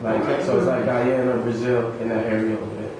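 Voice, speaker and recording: A small-business owner guesting on a podcast in a boomy, noisy room, a man making his points in slang and saying them twice. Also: level tone, fairly quick. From Suriname, moved to the US in the early 1990s. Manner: slangy, emphatic, repeats himself. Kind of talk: casual chat. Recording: very echoey room, distant mic, noisy background.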